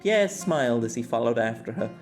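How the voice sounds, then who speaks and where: Expressive man narrating, animated pitch. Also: fairly quick, faint music behind. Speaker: amateur audiobook narrator. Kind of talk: expressive scripted story narration.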